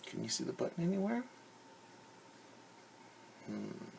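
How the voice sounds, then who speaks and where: A man teacher reading a picture book aloud to children, animated and stopping to explain words and ask questions. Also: on the high side, unhurried, vocal fry, a little hiss or hum, low-quality recording. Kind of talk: children's story read-aloud.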